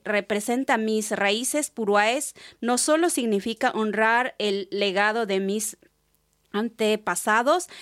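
A woman speaks at a slow pace. Her voice is average in pitch. She answers formally as an interview guest, as though from a prepared text. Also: fairly bright tone. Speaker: guest, textile artisan.

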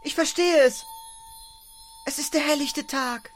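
Plucky little dwarf, fairly high-voiced woman playing him. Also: measured pace, faint music behind. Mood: awed. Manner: gushing, wide-eyed, indignant when slighted. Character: eager, curious, plucky little male dwarf with big nose. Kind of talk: children's radio play acting.

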